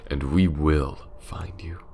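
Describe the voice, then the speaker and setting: A man playing a menacing, aristocratic vampire lord in an ASMR roleplay, slow and theatrical, often sinking into a sinister whisper. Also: rumbling low, steady tone, warm tone, slight background noise, exaggerated voice. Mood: mocking, predatory.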